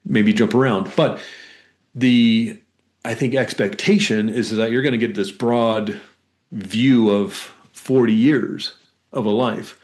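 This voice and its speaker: Guy with a lowish voice, interview guest, explains stuff in a rambly halting way, tells stories. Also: darkish tone. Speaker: guest, hypnotherapist. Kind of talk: conversational interview storytelling.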